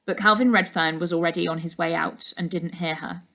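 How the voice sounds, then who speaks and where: A woman's voice: fairly low pitch, steady tone, a little fast, vocal fry, fairly bright tone. Woman reading a children's story aloud. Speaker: teacher reading a class book aloud. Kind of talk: expressive children's storybook narration.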